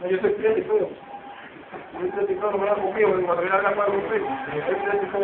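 High-pitched man arguing, distant. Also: level tone, fairly quick, background noise. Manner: argumentative, repeats no again and again. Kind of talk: casual group chat. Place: open-fronted barbershop with street grille. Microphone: handheld phone camera. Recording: echoey, distant, tinny phone camera audio. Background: chattering group of men.

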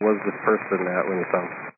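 A male tower controller talks fast and evenly in clipped phrases. He comes over a tinny aviation radio with clear static. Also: low voice, little pitch movement. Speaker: air traffic tower controller.

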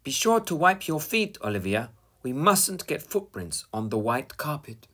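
A fussy, prim pig mother from a storybook, as a man puts her on, with a precise, lilting and proper way of speaking. Her pitch swoops widely, and the sound is bright and husky. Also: sing-song, measured pace, exaggerated voice.

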